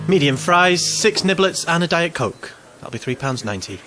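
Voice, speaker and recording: A man playing a bored, absent-minded fast food order taker in an audio drama, reeling off rote patter. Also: fairly high pitch, sing-song, loud music behind the voice.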